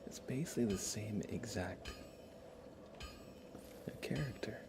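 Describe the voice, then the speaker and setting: A man's voice: fairly low pitch, expressive, a little slow, over music. A man hosting a casual ASMR game livestream, chatty and informal, sometimes slipping toward a whisper. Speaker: livestream host.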